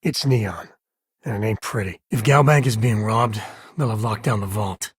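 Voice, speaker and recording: An actor playing a rugged frontier space-cowboy companion in a video game, a wry, protective father with a husky American voice and a folksy, earnest way of talking. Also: lowish voice, normal speed, dry recording, studio quality. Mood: earnest, measured. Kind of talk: video game character voice acting.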